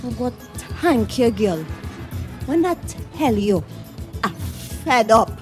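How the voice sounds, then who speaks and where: A woman's voice: on the high side, expressive, slow pace, soft background music. A woman acting a small, harassed, weary mother and neighbour in a radio play, plaintive and confiding, with a Trinidadian accent. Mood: pleading.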